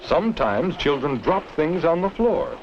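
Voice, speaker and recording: A man's voice: a little high, very animated, unhurried, noisy background. A man narrating a 1950s school film, teaching with simple questions put straight to the viewer, on a muffled old soundtrack. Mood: questioning, disapproving. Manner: didactic, addresses the viewer with simple rhetorical questions. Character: genial adult male narrator guiding schoolchildren.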